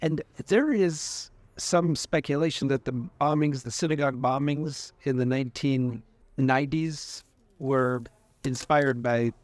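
A man of medium pitch conducts an informal interview. His questions come out tentative and loosely put together. The recording is dry, with little sense of the room. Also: unhurried, no echo.

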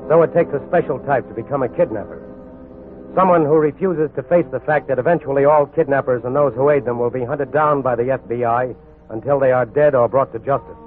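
Grave American crime narrator, tinny old radio. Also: male voice, medium pitch. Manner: grave, deliberate. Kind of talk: scripted 1940s crime narration. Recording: tinny 1940s radio recording.

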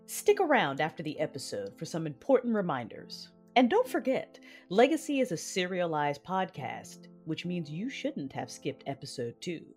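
Low, animated woman announcer over music. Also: moderate pace, few pauses. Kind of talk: scripted podcast intro announcement. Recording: music behind the voice.

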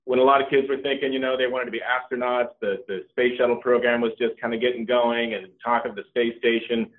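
A middle-aged man, a company co-founder, talking casually in an interview with a relaxed manner and many ums and restarts. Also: medium pitch, fast talker. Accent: Californian. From Norway. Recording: tinny phone-like line.

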